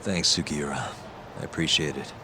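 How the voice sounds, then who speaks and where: An actor playing a hard-boiled, impatient interrogator who presses bluntly and with menace in a game cutscene. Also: male voice, very deep voice, steady tone, normal speed, noisy background. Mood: subdued. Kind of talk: dramatic video game cutscene acting.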